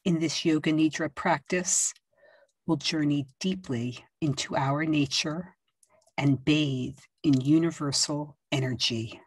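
Slow breathy low-voiced woman guiding meditation. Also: fairly even intonation, dry recording, poor audio. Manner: slow, soft and soothing, with long pauses and gentle instructions. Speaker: yoga nidra teacher. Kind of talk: guided meditation.

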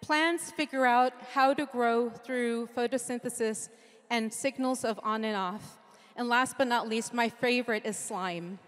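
A woman's voice: fairly high pitch, unhurried. A middle-aged woman from Japan giving a conference keynote into a handheld mic, earnest and lightly humorous, listing ideas in rhythmic runs. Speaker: keynote speaker. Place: large conference stage with audience. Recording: long hall reverb from a big venue.

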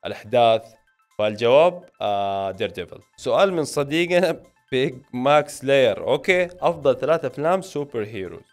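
A young Saudi man with a Hejazi accent talks very quickly in a mid-range voice. He addresses his audience directly and informally, reading questions and answering them on a close microphone, with music playing underneath. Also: medium pitch. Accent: Saudi Hejazi Arabic. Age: late 20s. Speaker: film and series YouTuber. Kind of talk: casual Q&A to camera, reading viewer questions aloud and answering. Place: small indoor home studio. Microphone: microphone on a stand close in front.

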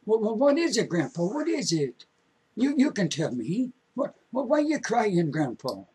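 A man voicing an eager, worried young boy talking to his grandfather, stammering out quick pleading questions. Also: very high-pitched, very animated, mellow, slightly rough, voice pushed up, heavily acted.

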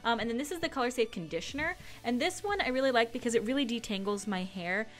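A woman's voice: on the high side, animated, quick pace. A young woman, giving a casual, laid-back tutorial voice-over as a beauty vlogger. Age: young adult. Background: background music.

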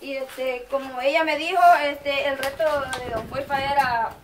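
Woman counting scores, high and off-mic, crowd noise around. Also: fairly even intonation. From El Salvador. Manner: quick, counting aloud. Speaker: contest helper tallying scores. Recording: distant voice in a crowd.

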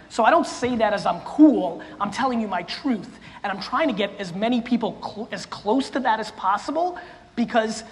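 Blunt, intense man in a reverberant hall. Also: high-pitched. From former Soviet Union. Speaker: keynote speaker answering audience questions. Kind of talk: live audience Q&A. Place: stage of a large hall before an audience. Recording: long hall reverb, faint noise.

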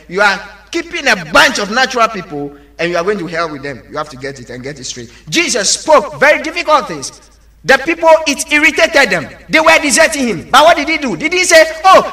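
A man's voice: very high voice, bright, forceful tone. A man preaching an evangelical sermon, exhorting emphatically and putting rhetorical questions to his listeners.

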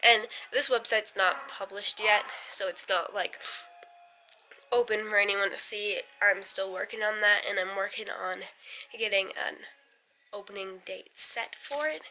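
Bright young American woman chatting casually. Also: medium pitch, measured pace, low-quality recording. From United States. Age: late teens to about twenty. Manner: informal, rambling, chatty. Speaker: vlogger, young mother. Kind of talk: casual family vlog chat. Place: indoors at home. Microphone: handheld camera at arm's length. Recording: noticeable background noise, handheld home recording.

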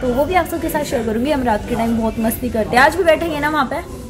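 A woman's voice: high-pitched, fast talker, over music. A young woman in her twenties vlogging casually on a trip, talking straight to her viewers. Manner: chatty, addresses viewers directly. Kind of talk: casual travel vlog chat. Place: streets, car and restaurant, mixed indoors and outdoors. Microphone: handheld phone camera at arm's length. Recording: loud background noise from streets and traffic.

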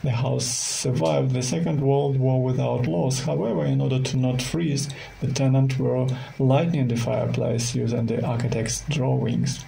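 A man with a Russian accent guides a tour in careful, halting non-native English. His voice is dark and relaxed, with a good deal of creak at a middling pitch. He sounds like he is following a prepared script, with faint noise behind him. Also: poor audio. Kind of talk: scripted virtual tour narration.

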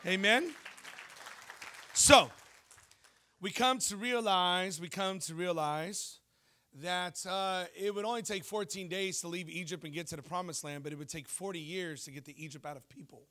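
Bright-voiced animated preacher man. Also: sits high, normal speed. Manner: animated storytelling preacher, jokes and asks the crowd questions. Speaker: pastor. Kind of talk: sermon. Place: church stage before a congregation. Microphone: handheld mic.

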